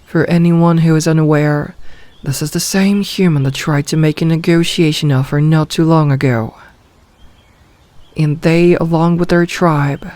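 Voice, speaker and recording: Woman playing a slow, commanding werewolf pack leader. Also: deep voice, mellow, no echo. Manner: slow, authoritative, possessive. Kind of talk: ASMR roleplay acting.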